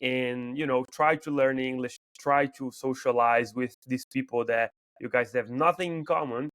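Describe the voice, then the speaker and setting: A man's voice: mid-range voice, fairly even intonation, normal speed, a bit bright, no echo. A man in his twenties from Brazil, speaking English with a Brazilian accent as a second language, hosting a casual podcast interview and often repeating words as he searches for phrasing. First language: Portuguese. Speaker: podcast host.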